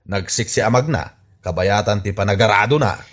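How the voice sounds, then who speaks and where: A man's voice: on the low side, a little fast, close to an everyday voice. A man playing a gossipy, teasing village man in an Ilocano radio comedy drama, speaking with an Ilocano accent. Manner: teasing, animated.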